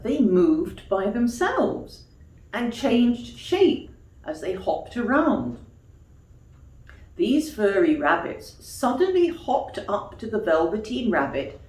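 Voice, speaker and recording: A woman's voice: on the low side, darkish tone. A woman reading a children's story expressively, as a storyteller addressing young listeners. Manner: explains words to young listeners in asides. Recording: echoey room, distant mic.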